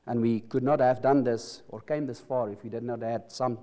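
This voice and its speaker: A middle-aged man with an Afrikaans accent, native Afrikaans speaker from South Africa, earnestly preaching a sermon and leading prayer, often halting and restarting words. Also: medium pitch, steady tone, warm tone.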